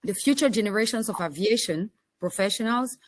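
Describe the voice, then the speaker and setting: A woman's voice: mid-range voice, lively intonation, fairly quick, no echo. A woman with a Cameroonian accent, introducing herself as a panelist. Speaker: panelist, association president.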